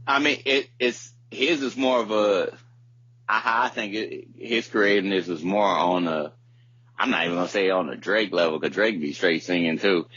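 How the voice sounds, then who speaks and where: A man's voice: low-pitched, normal speed, fairly bright tone, husky, no echo. A man co-hosting a casual music podcast, talking in a rambling, slangy, profane way. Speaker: podcast co-host. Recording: muffled lo-fi podcast audio with hum.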